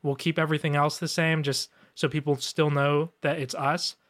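A man's voice: on the high side, little pitch movement, moderate pace, a bit bright. A man who works as a designer, answering questions on a live show in a laid-back way with lots of fillers. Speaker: guest designer. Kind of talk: casual live Q&A.

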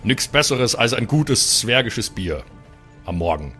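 A man's voice: medium pitch, sing-song, measured pace, pushed and bright, soft background music. A man playing a hungover, boastful dwarf adventurer, groaning and muttering in self-satisfaction, in an improvised fantasy audio game. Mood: relaxed.

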